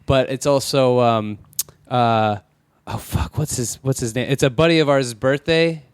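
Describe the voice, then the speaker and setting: A man, a comedian guest, chatting casually in a halting, rambling way. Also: mid-range voice, clear, resonant tone, no echo. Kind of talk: casual chat.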